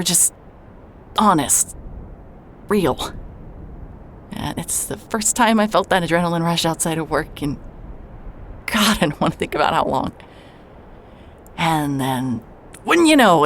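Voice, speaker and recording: A woman's voice: fairly low pitch, a little hiss or hum. An actress narrating in first person as a sarcastic, guarded woman investigator who swears when she is upset. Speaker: narrator and lead character.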